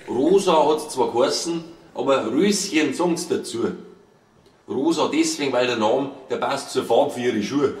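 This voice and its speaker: A man's voice: medium pitch, moderate pace, music in the background. A man with a Bavarian accent reading his own comic verse tales aloud, playfully, with wry asides. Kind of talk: comic verse storytelling in dialect, read aloud live. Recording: roomy live recording, distant mic, faint noise.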